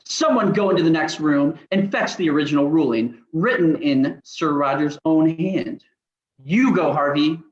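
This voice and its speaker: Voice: medium pitch, moderate pace, close to an everyday voice. Village elder played by an amateur actor: old man, indignant, pompous, archaic diction, roomy dull recording. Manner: pompous, archaic thee and thou speech. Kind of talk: old-time radio drama reading.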